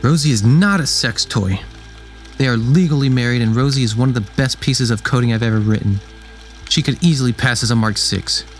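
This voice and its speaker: A man's voice: mid-range voice, a little fast, soft background music, close to an everyday voice. A man playing an earnest, proud mechanic and android builder in an amateur sci-fi radio drama. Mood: indignant, impassioned.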